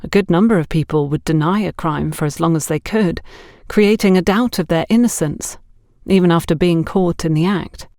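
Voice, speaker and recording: Low-voiced woman narrating a story. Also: moderate pace, a little mellow, no room sound, studio quality. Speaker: audiobook narrator.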